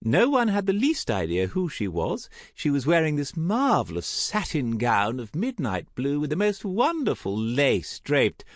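Man voicing a gushing, high-pitched older society aunt. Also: wildly swooping pitch, a little slow, few pauses, no echo, clean studio sound. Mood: gushing delight. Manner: gushing, theatrical, emphatic. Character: lively, dramatic, gossip-loving older aristocratic aunt. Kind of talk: audiobook character acting.

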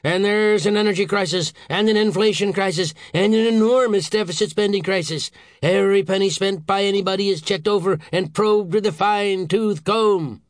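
A man's voice: sits high, expressive, fast talker, no room sound, pitched above the performer's own voice. A man voicing a furious, blustering older government official in an audiobook, howling and hectoring with theatrical indignation. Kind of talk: audiobook character voice.

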